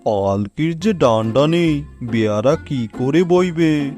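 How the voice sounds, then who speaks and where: A man voicing a gullible, greedy tiger uncle in an animal fable cartoon, with a drawn-out, self-satisfied delivery. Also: on the high side, lively intonation, darkish tone, soft background music. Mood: puzzled.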